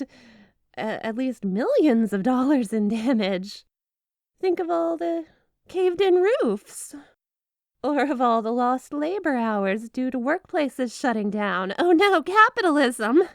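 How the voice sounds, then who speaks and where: A woman's voice: high voice, no room sound, clean studio sound, heavily acted. A woman playing a flirty, clingy wife with magic snow powers in a scripted ASMR roleplay, playful, coaxing and theatrically dramatic.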